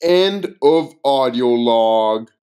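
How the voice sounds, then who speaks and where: A man narrating a scripted clinical report in a measured, formal read-aloud manner. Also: mid-range voice, animated, dark tone, smooth. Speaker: narrator of fictional audio logs.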